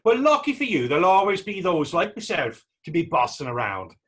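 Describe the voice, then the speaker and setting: Man acting a husky, mocking young Irish vagrant. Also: fairly high pitch, big pitch swings, a little fast. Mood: sly, sarcastic. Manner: taunting, sarcastic, theatrical dialect speech. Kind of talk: readers theater stage acting.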